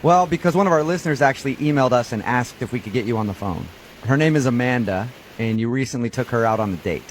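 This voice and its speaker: A man hosts a casual radio phone segment at a brisk clip. He is chatty and amused, always probing with another question. A faint hiss sits behind his voice. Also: medium pitch, rough recording. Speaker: radio show host. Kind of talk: casual phone prank-call radio chat.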